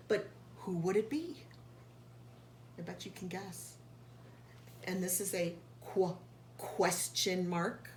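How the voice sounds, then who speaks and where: A woman reading a picture book aloud to young children like a teacher, pausing to explain and carefully sounding out key words. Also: medium pitch. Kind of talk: read-aloud storytelling with explanatory asides. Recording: echoey room, faint background noise.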